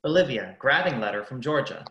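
A man's voice: mid-range voice, animated, fairly quick, highs cut off, heavy background noise, natural voice. A man narrating stage directions in an amateur radio play. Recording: roomy, echoing sound.

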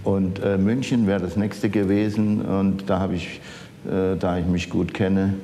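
Old man, very deep mellow voice, halting recollections, conversational. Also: flat delivery. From Saarland, Germany. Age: older, 70s or 80s. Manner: halting, searching for words. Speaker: architect interviewed as an eyewitness. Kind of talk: conversational reminiscence. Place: large office archive room, indoors. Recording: echoey room with rumbling background noise.

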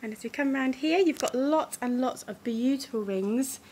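A woman's voice: sits high, moderate pace, a little mellow, slight background noise. Bubbly English woman touring a shop. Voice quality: slightly muffled by a face mask. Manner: enthusiastic, gushing praise. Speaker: vlogger giving a shop tour. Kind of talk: upbeat walking shop tour. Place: small gift shop indoors. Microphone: phone camera held while walking.

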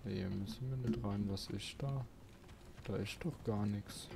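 A man's voice: fairly low pitch, normal speed, gravelly, background noise. A man giving casual let's play commentary, thinking aloud and muttering questions to himself.

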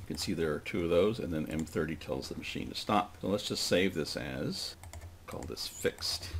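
A man presenting an informal step-by-step software screencast, explaining plainly and thinking aloud as he demonstrates. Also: sits low, animated, normal speed. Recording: clearly noisy desk recording with typing.

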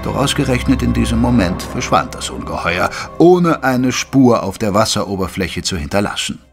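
A man playing an earnest Victorian doctor who narrates a detective audio drama in a measured, literary way. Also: on the low side, expressive, vocal fry, over music. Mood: measured, grave. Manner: measured, literary storytelling delivery. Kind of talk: scripted German audio drama narration with dialogue.

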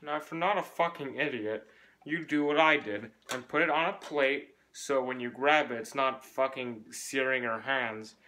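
Deadpan young man hosting a cooking show. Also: medium pitch, normal speed, rough recording. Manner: deadpan, swears casually, switches between bickering and cooking instructions. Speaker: comedy cooking-show host playing both himself and a rival. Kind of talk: homemade comedy sketch. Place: family home kitchen and hallways, indoors.

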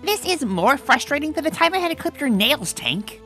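A woman's voice: high-pitched, big pitch swings, normal speed. A woman voicing a brash, cocky young female cartoon pegasus in a comic dub, with a boastful, dramatic delivery. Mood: worried, frustrated. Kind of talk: animated comic dub acting. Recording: voice over background music.

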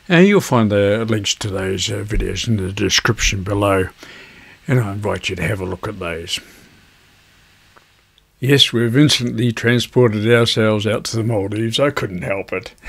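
Older man, low measured voice. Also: slightly rough. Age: 70s. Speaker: video host, ham radio hobbyist. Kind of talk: instructional talk to camera. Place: indoors at a desk, virtual backdrop.

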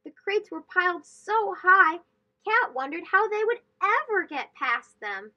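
A young woman reading a children's picture book aloud in a gentle, expressive, slow and clear way, recorded in her bedroom. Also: extremely high pitch, lo-fi sound. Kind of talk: read-aloud storytelling for children. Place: bedroom with bookshelves and plush toys, indoors.

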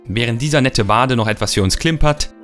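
A young man with a slightly rough, cold-worn voice, chatting playfully and rambling as he narrates his gameplay. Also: medium pitch, brisk, fairly bright tone, soft background music. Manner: playful, rambling, repeats words for fun. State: hoarse from a cold. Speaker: let's play host. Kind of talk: casual gameplay commentary.

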